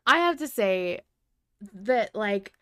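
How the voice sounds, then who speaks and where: A woman's voice: medium pitch, expressive, slow pace, fairly bright tone, clear, resonant tone, no echo, studio quality. A woman hosting a podcast, talking through ideas in a casual, informal and emphatic way. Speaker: podcast host. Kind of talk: casual fan discussion podcast.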